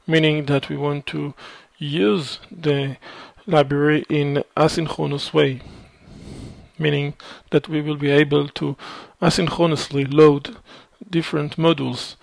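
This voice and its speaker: A man talks through a code sample at a very unhurried pace. His voice is gravelly and fairly high for a man. The recording is dry and close, with methodical, filler-laden explaining. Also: dry recording. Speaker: programming instructor. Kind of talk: screencast code walkthrough. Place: at a computer, voice over screen recording.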